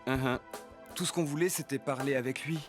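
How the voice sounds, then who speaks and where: Low-voiced, serious cartoon boy. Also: fairly quick, soft background music. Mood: firm, resigned. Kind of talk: animated series dub.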